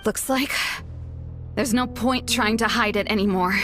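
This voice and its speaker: Casting: actress for bitter, guarded young woman in a video game, slightly high voice, slow brooding delivery. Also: over music, lightly acted. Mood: resigned. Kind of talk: game voice acting.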